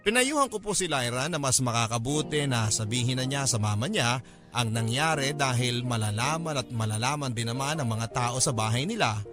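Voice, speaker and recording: A man's voice: medium pitch, lively intonation, a little fast, over music, close to an everyday voice. A man performing an earnest young student's story as scripted radio drama narration, polite and confiding. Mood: earnest, troubled. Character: earnest, worried young student friend telling their story.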